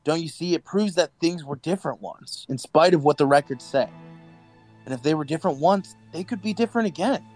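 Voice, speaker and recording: A man playing a nervous, earnest dystopian rebel in an audio drama, speaking urgently and haltingly. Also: on the high side, darkish tone, soft background music, natural voice. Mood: curious, earnest.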